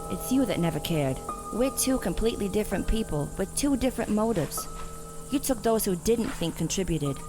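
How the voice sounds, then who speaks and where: Woman acting a young vigilante murderess, cold, defiant, self-righteous, deliberate, dramatic audio drama, music underneath. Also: on the low side, natural voice.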